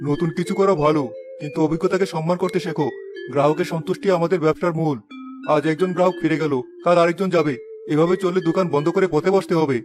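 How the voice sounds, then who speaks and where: Man voicing a patient, principled weaver father, quick-talking. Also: fairly high pitch, fairly even intonation, over music, close to an everyday voice. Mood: admonishing. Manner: admonishing, polite, measured advice-giving. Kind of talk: moral-story cartoon dialogue.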